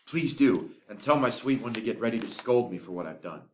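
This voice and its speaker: A man's voice: fairly low pitch, expressive, fairly quick, slight background noise, close to an everyday voice. A man voicing a lovesick, despairing young man who speaks with passion in a scripted audio drama. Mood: relieved. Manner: impassioned, pleading. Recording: echoey room.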